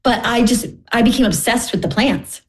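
A middle-aged woman from Canada telling personal stories as an interview guest, candid and gently self-deprecating. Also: a little high, normal speed. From Winnipeg, Canada. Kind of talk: personal storytelling in conversation.

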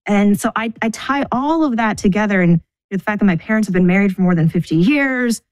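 A middle-aged woman from the United States, talking thoughtfully about her upbringing as an interview guest, pausing and restarting as she thinks. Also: mid-range voice, lively intonation, clean tone, dry recording, low-quality recording. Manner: thoughtful, measured, restarts mid-sentence. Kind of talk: reflective personal interview.

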